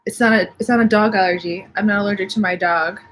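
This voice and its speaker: A woman's voice: medium pitch, fairly even intonation, fast, a bit bright, a little hiss or hum. A young woman with slightly sniffly allergies, chatting casually from home on a live stream and reading out viewer comments. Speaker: livestream host.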